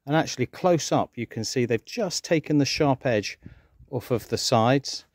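A man's voice: mid-range voice, animated, moderate pace. A middle-aged man chatting casually as he works outdoors on a DIY project, explaining each step with ums and restarts, recorded on an action camera. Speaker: vlogger doing home DIY. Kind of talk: casual narration while working.

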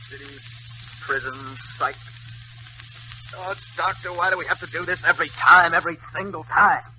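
A man's voice: on the high side, moderate pace, bright, forceful tone. A man playing a desperate, tormented prisoner, stammering and pleading, in 1940s radio acting. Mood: frantic, pleading. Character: desperate, tormented male prisoner on a psychiatric ward. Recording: noisy, humming old radio recording.

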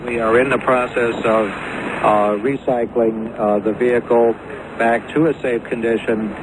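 Man, spokesman, monotone, halting, noisy distant radio. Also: medium pitch. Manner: flat, halting official statement. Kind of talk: official announcement.

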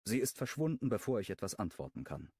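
A man narrating a first-person romance audiobook in a calm, wry tone. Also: on the low side, fast talker, slightly rough, no echo, studio quality.

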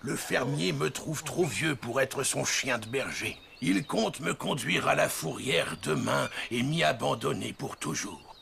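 An actor playing a weary, gentle old sheepdog in a cartoon, with a gravelly, plaintive voice. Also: male voice, medium pitch, expressive, unhurried, hardly any pauses, slight background noise, full character voice. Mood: worried, pleading. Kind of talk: animated cartoon dub.

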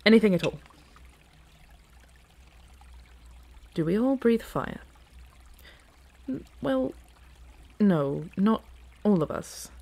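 Woman acting as earnest female dragon royal, unhurried, mid-pitched, teasing, conversational, fantasy audio roleplay. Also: lively intonation, gravelly, mellow, full character voice. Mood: casual, proud. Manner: casual, teasing, halting, conversational. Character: earnest, playful young female dragon royal in human form.

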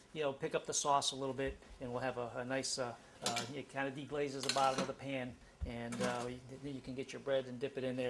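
Middle-aged male cooking host, keen and chatty, slightly high voice, noisy kitchen sound. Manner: enthusiastic, talks while cooking, many fillers. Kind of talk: home cooking demonstration. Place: home kitchen.

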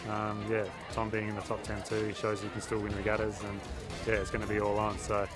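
A young man in his twenties answering interview questions outdoors by a harbour, relaxed and full of fillers. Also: fairly low pitch, a little fast, over music. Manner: relaxed, many fillers, flat delivery. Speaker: athlete interviewee.

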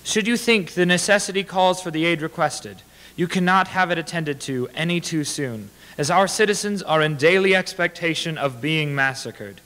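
A man in his twenties delivers old letters as a formal public reading. The pitch is somewhat raised for a male voice. A podium microphone catches it with the ringing reverb of a large hall. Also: normal speed, pushed and bright. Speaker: dramatic reader. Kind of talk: formal read-aloud of historical letters. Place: large indoor hall with brick walls.

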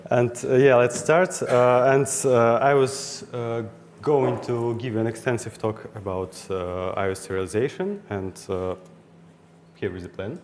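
A man's voice: medium pitch, measured pace. A young man with a Russian accent giving a technical meetup talk, hesitant and full of fillers and restarts. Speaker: conference speaker. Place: meetup room with audience. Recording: hall reverb, faint ambient noise.

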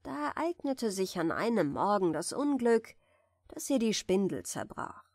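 A woman reading a fairy tale softly and soothingly as a bedtime audiobook narrator, in a measured way. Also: medium pitch, sing-song, no room sound, studio quality. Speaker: audiobook reader of fairy tales.

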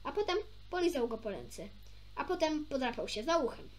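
A woman's voice: high voice, big pitch swings, normal speed. A young woman reading a children's story aloud expressively, informally, with small stumbles and chatty asides. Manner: informal, stumbles over words and self-corrects. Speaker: amateur storybook reader.

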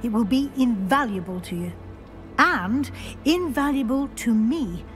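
A stern, brisk professor, crisp and businesslike, her fairly high voice riding over clear orchestral music in a game cutscene. She keeps things moving with clipped, no-nonsense authority. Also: expressive. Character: brisk older female professor, stern and proper.

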